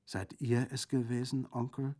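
A man voicing a shy, obedient little peasant girl in an audiobook, speaking timidly and softly. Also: on the low side, expressive, normal speed, husky. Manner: timid, soft. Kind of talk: audiobook character acting.

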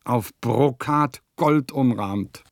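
A man's voice: mid-range voice, drawn-out words, no room sound, clean studio sound. An actor playing an eccentric, self-important nineteenth-century composer musing theatrically over his diary in a radio play.

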